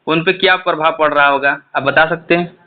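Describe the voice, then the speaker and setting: A man's voice: a little high, fairly even intonation, brisk. A man asking questions as the interviewer in a phone interview. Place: phone call. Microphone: telephone. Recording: tinny phone call recording.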